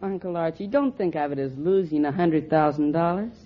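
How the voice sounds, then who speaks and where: Actor voicing a wisecracking young boy, deadpan, old radio comedy, muffled sound. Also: medium pitch, a little fast, close to an everyday voice. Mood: cheeky. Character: cheeky young boy posing as a son. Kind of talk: 1940s radio comedy acting.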